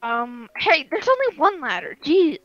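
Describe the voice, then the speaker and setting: A woman playing an excitable, blustering pirate fox animatronic in an improvised game roleplay, exclamatory and dramatic. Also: high-pitched, measured pace, lo-fi sound, heavily acted.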